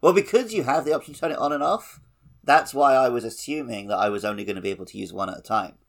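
A man's voice: mid-range voice, quick pace, vocal fry. A man co-hosting a podcast, talking through a video game in an informal, explanatory way. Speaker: podcast co-host. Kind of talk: casual discussion of a video game.

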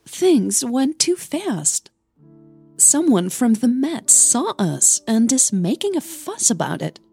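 Woman doing an uneasy, defensive young woman in an audio drama, voice pushed up high, husky. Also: sing-song, takes it slowly, dark tone, faint music behind, heavily acted. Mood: anxious, evasive. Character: anxious, defensive young female performer, a daughter.

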